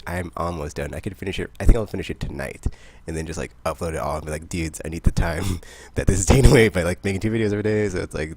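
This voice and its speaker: A man hosting a podcast, chatting about games in a rambling, excitable way. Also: sits low. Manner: rambling, excitable, laughs often. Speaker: podcast host. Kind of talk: casual gaming chat.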